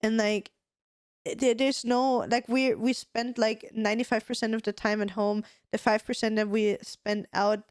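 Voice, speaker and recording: A woman's voice: a little high, flat delivery, moderate pace, smooth, dry recording, clean studio sound. A woman with an Austrian accent, a native German speaker, co-hosting a podcast in casual, rambling chat full of fillers and restarts. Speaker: podcast co-host.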